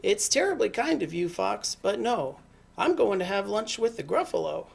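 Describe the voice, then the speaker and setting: A woman's voice: medium pitch, very animated, normal speed, vocal fry, husky, pitched above the performer's own voice, full character voice. A woman voicing a small, clever brown mouse who bluffs politely and confidently, in a picture-book read-aloud. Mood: polite refusal. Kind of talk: animated picture-book character reading.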